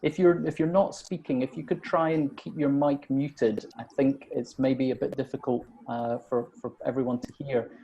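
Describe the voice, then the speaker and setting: Guy hosting a zoom webinar, stumbles and repeats himself a lot, average pitch. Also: steady tone, normal speed, hardly any pauses, dark tone, muffled recording, slight background noise. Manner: hesitant, repeats words often. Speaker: webinar moderator. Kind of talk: online panel moderating. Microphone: video call.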